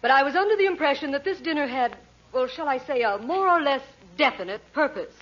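An actress playing a prim, impatient, disapproving dinner guest in a 1940s radio comedy, formal and curt. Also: female voice, high-pitched, expressive, fairly quick, a bit bright. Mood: disdainful. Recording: dull, noisy radio recording, audience behind.

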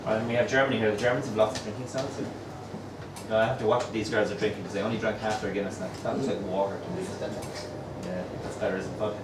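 A middle-aged man hosting a pub crawl, telling jokey stories to a crowd and mimicking people in quick asides. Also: lowish voice, little pitch movement, background noise. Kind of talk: humorous storytelling to a pub crowd. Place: small crowded pub, indoors. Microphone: far camera, no mic. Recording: roomy pub echo, distant, chatter behind.

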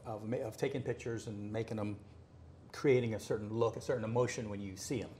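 A middle-aged man from Miami, talking in a relaxed, easygoing way as the guest in a home interview, recorded in a living room. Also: lowish voice, fast, a little hiss or hum. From Miami, United States. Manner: easygoing, gestures with hands while talking. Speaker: artist guest. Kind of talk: relaxed conversational storytelling.

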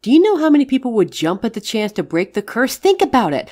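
A woman's voice: on the high side, sing-song, quick pace, darkish tone. A woman playing a caring but exasperated witch in an audio roleplay, talking frankly and coaxingly to a friend. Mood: urging, exasperated. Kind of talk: dramatic audio roleplay acting.

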